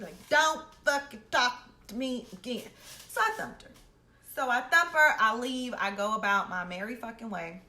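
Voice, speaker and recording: A young woman with a bright, forceful, fairly high voice tells a personal story in a brash, slangy way full of swearing. She acts out both sides of each exchange as she goes. The recording is roomy and echoey. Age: young adult. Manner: brash, slangy and heavily profane. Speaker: host of a storytime series. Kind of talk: animated personal storytelling, casual. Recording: roomy echo with faint background noise.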